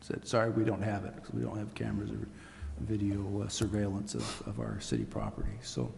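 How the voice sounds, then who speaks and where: A man on city staff answering questions at a council meeting, halting and full of fillers. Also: on the low side, gravelly, a little mellow. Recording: reverberant hall, faint noise.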